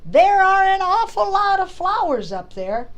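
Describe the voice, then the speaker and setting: A woman's voice: very high-pitched, sing-song, unhurried, highs cut off, pitched above the performer's own voice, heavily acted. A woman voicing a kindly, plain-spoken old grandpa in a children's storybook, emphatic and straightforward. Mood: frank, reassuring.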